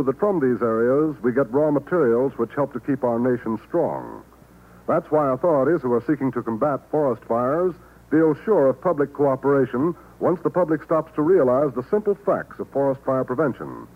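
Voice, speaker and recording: A man reading a scripted 1950s radio announcement, formal, earnest and emphatic. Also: mid-range voice. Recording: tinny, narrow-band vintage radio recording.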